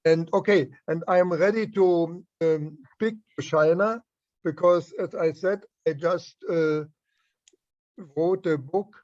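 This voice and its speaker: A man's voice: on the high side, a little mellow, lo-fi sound. A man with a German accent, a native German speaker, talking hesitantly in an online meeting over a video call. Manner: hesitant, many fillers, slow. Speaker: author and meeting participant. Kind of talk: casual video call discussion.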